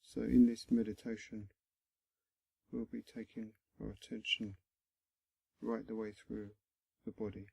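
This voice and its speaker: A man guiding a body scan meditation in a gentle, instructional manner, pausing often between short phrases, with a low, husky, creaky voice. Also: flat delivery, dry recording, poor audio. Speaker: meditation guide.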